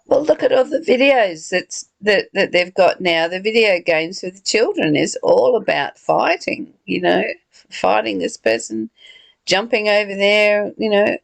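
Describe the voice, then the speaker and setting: A woman's voice: mid-range voice, animated, a little slow, vocal fry, no room sound. A woman leading a reflective spiritual discussion, earnest and given to rhetorical questions and repeated phrases. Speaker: study group leader.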